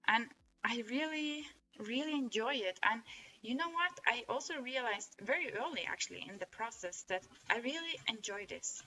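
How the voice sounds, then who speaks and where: Bright-voiced Norwegian woman vlogging. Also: a little high, lively intonation, normal speed, low-quality recording. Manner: rambling, many restarts and fillers, earnest. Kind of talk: casual reflective monologue.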